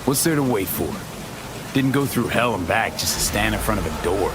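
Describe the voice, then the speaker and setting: An actor playing a gruff, terse mercenary in a video game, steady and matter-of-fact. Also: male voice, fairly low pitch, normal speed, music in the background, close to an everyday voice. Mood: dry, dismissive. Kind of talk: naturalistic video game acting.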